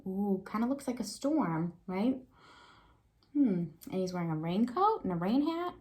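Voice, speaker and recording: A woman's voice: medium pitch, very animated, a little slow, darkish tone. A woman, an elementary teacher giving a read-aloud lesson to young children and thinking aloud with prompting questions.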